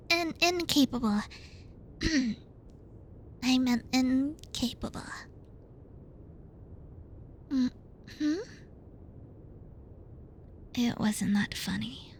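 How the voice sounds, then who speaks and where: A woman acting a high-pitched, bratty tsundere schoolgirl. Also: a little hiss or hum. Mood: flustered. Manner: halting, pouty, defensive, stumbles over words. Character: bratty tsundere young female student, flustered, teasing and bossy. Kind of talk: ASMR roleplay addressed to the listener.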